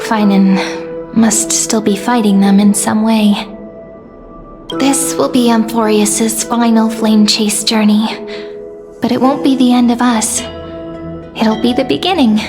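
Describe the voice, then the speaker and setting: High, gentle young companion. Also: female voice, fairly even intonation, takes it slowly, long pauses, music in the background. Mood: tender, hopeful. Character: gentle, earnest, affectionate young female companion. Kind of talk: scripted video game dialogue.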